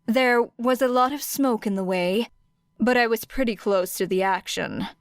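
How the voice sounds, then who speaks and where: A woman's voice: on the high side, normal speed, smooth, no room sound, clean studio sound, close to an everyday voice. A woman voicing a stern, commanding female hero in an audiobook, with a harsh, authoritative manner. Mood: grudging, uneasy. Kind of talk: audiobook character acting.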